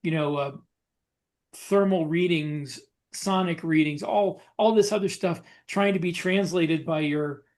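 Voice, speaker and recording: A man's voice: on the high side, measured pace, a little mellow. A man co-hosting a writers' podcast, explaining things in a casual panel discussion. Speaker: podcast co-host and military science fiction author.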